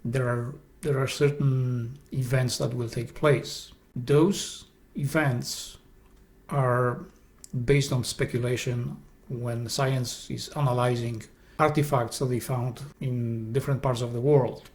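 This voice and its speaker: A man's voice: medium pitch, fairly even intonation, darkish tone. Earnest Polish-accented male host. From Poland. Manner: earnest, halting, with restarts. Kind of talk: esoteric presentation narration.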